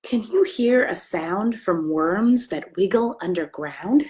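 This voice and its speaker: An American woman, a pastor, reading a children's story aloud with gentle, simple asides and questions for young listeners. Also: medium pitch, expressive, slow pace. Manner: gentle, simple questions addressed to small children, often hushed.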